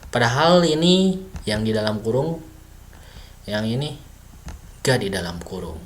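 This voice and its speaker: A man's voice: mid-range voice, expressive, a little slow, slight background noise. A man teaching basic coding in a casual screen-recorded lesson, thinking aloud with many fillers and self-corrections. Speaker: self-taught coding tutor.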